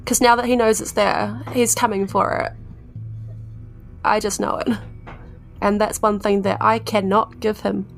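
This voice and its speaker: A woman's voice: mid-range voice, flat delivery, unhurried, creaky, pauses often, soft background music. A woman voicing an anxious, guarded young mage in a tabletop fantasy game, hesitant and earnest.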